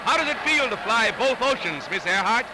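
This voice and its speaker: A man's voice: very high-pitched, expressive, a little fast, background noise. A man announcing a scripted 1930s newsreel with dramatic, florid delivery on an old film soundtrack. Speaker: newsreel narrator. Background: music.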